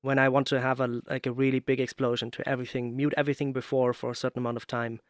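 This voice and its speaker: Male interviewer, medium pitch, casual musing delivery, repeated words, dry close recording. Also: little pitch movement, racing, no echo. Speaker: podcast host, interviewer.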